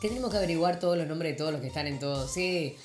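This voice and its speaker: A woman's voice: sits low, animated, racing, roomy sound, faint music behind. Chatty Argentine woman hosting morning radio. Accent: Argentine Spanish. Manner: warm greeting, rambling with many fillers and restarts. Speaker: morning radio show host. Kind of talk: casual chatty radio talk. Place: radio studio.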